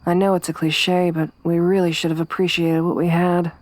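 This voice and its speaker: A young woman voicing a tough, tomboyish survivor companion in an ASMR roleplay, speaking softly with a wry, weary warmth. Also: fairly low pitch, little pitch movement, normal speed, natural voice. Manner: soft-spoken, wry, quietly reflective.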